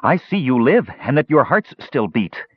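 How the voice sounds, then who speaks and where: Grown man doing spooky little demon kid, high voice, all excited and singsongy, gloating, storybook style. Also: measured pace, breathy edge, no room sound, highs cut off. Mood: excited glee. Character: gleeful, eerie little undead demon boy.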